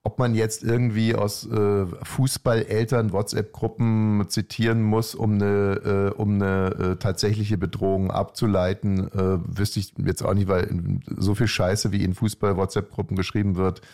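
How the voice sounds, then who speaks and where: A man co-hosting a podcast, talking in a casual, hesitant way with many pauses and restarts. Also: on the low side, level tone, a little mellow. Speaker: podcast co-host. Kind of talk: casual conversational commentary.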